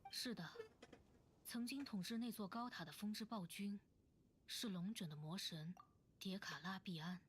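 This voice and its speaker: A woman playing a youthful androgynous male wind-spirit bard in a video game, telling tales in a lyrical, measured way. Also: mid-range voice, natural voice. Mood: wistful, gentle. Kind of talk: video game voice acting, poetic storytelling. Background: loud game music.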